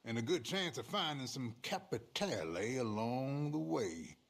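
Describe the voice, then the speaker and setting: Slow-drawling, lively American frontier schemer. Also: male voice, medium pitch, creaky. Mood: scheming, eager. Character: shady male frontier fixer, sly, folksy outlaw schemer. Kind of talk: video game cutscene acting.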